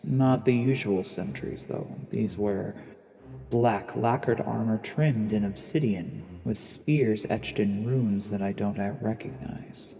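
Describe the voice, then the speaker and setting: An actor playing a sly assassin posing as a charming nobleman, narrating an audio drama in a courtly, measured, theatrical way. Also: lowish voice, vocal fry, warm tone. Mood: watchful intrigue. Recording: long reverb, music underneath.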